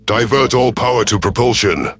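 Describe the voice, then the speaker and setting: Low, commanding alien warship chief, acted by a man. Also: moderate pace, a bit bright. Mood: stern, commanding. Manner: formal, authoritative, clipped orders. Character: commanding alien predator chief hunter, battle-hardened warship leader. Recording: music behind the voice.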